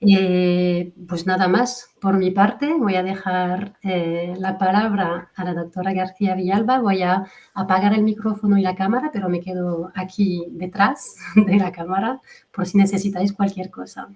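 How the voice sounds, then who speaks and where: French woman speaking Spanish on a video call, webinar host, hesitant with fillers, mid-pitched voice. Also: steady tone, moderate pace, darkish tone. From France. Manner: hesitant, many fillers and restarts. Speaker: fertility coach and webinar host. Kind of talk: hosted webinar introduction.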